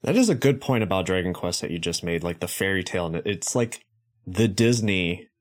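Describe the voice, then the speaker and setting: A man with a low voice hosts a podcast. He keeps things loose and joking, with casual swearing. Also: expressive, fairly quick. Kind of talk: casual chat.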